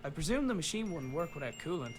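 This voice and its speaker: Wry searching father, adventure game narration, lightly high pitch, very animated intonation, reflective storytelling. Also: fast, a little hiss or hum. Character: adult son and father, wry inventor's child searching. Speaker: voice actor in a video game. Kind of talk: scripted first-person game narration. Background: game music.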